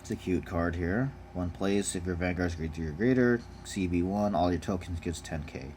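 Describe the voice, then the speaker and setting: Noticeable background noise surrounds a low-voiced man making a casual unboxing video. He speaks in a laid-back, off-the-cuff way, like a hobbyist looking over cards and reading them out. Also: normal speed, a little mellow. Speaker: card game unboxing vlogger. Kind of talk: casual off-the-cuff card review.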